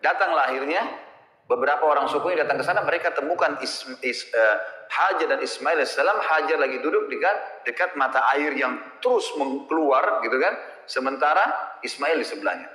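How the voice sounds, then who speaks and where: A man's voice: on the high side, lively intonation, fairly quick, sharp tone. A man, an Islamic preacher giving an informal history lecture, telling it like a teacher with repeated phrases and check-in tags. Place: mosque prayer hall. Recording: echoey mosque hall with long reverb.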